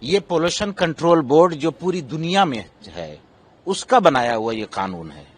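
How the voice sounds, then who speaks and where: A male imam from India making an off-the-cuff statement, speaking emphatically and deliberately, often building up repeated lists. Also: a little high, big pitch swings, husky. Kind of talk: press statement, spoken off the cuff.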